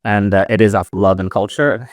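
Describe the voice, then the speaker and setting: A man's voice: lowish voice, steady tone, normal speed, no echo, studio quality. A man hosting a casual live audio chat, asking upbeat questions with lots of fillers.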